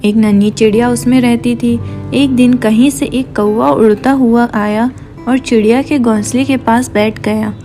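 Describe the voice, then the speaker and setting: High-voiced woman narrating a children's story. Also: steady tone, measured pace, dark tone. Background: background music.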